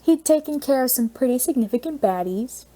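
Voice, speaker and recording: A woman reading scripted fiction as an audiobook narrator, with expressive storytelling. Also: sits high, a little fast, poor audio.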